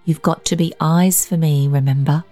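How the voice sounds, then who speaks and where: A woman voicing a blunt, gruff but soft-hearted old man in a narrated story. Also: low voice, steady tone, moderate pace, natural voice. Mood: wistful. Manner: blunt, brusque. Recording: roomy echo, faint music.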